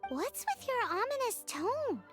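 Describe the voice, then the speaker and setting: A woman voicing a small, childlike fairy companion in an anime game, cheeky, excitable and blunt. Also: very high voice, measured pace, over music, heavily acted. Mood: wary, blunt. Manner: refers to self in third person, blunt and perky.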